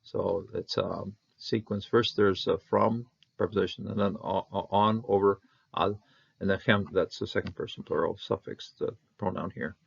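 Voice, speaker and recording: Male Hebrew teacher, low gravelly voice, halting delivery, lo-fi sound. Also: fairly even intonation. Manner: halting, many fillers, repeats, bursts on Hebrew words. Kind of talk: unscripted verse-by-verse Hebrew lesson.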